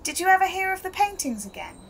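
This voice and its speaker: A woman's voice: very high voice, sing-song, quick pace, creaky, slight background noise, heavily acted, low-quality recording. A woman acting in an audio drama, playing an inquisitive journalist who keeps asking questions.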